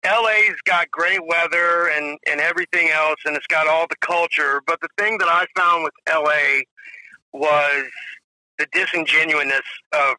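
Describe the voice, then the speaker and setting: A man's voice: on the high side, steady tone, moderate pace, sharp tone, dry recording, poor audio. A man in his forties, a drummer guest telling rambling stories in a casual interview, full of "you know" and "if you will". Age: 40s.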